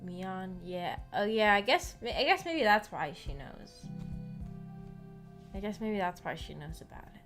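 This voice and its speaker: A woman in a casual, rambling discussion as a streamer, animated and giggly, often hesitantly thinking aloud. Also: mid-range voice, measured pace. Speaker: streamer hosting a visual novel book club discussion. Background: background music.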